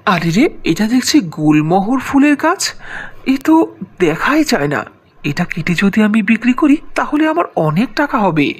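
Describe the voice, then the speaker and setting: A greedy cartoon woodcutter, voiced by a woman, exclaims wide-eyed over his find. The voice is husky and breathy at mid pitch. It rises and falls a great deal. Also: big pitch swings, unhurried. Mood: eager. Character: greedy male woodcutter, common working man. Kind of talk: cartoon character voicing.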